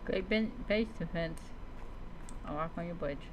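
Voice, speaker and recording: A streamer giving casual, playful gameplay commentary, talking to game creatures like pets, with noisy rumbling sound behind. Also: normal speed. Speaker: streamer playing a survival game.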